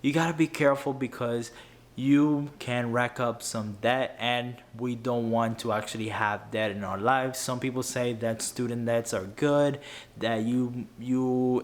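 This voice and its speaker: A young man whose first language is Spanish, giving earnest money advice to camera, often tripping over words and restarting. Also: mid-range voice. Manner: earnest, stumbling with many restarts. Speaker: YouTuber. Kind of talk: unscripted finance advice to camera.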